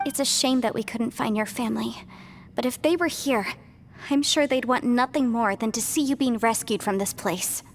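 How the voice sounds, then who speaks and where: Actress as a high-voiced, clever young heroine. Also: female voice, normal speed, a little hiss or hum, close to an everyday voice. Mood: reassuring. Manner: articulate, explanatory, encouraging. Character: bright, clever young woman legal adept, upbeat problem-solver. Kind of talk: video game voice acting.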